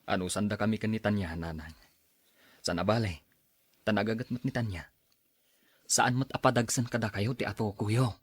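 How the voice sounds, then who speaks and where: Man playing low-voiced patient Ilocano son. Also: steady tone, normal speed, long pauses, slightly rough. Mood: questioning. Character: patient grown son addressing his mother. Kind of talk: Ilocano radio drama acting.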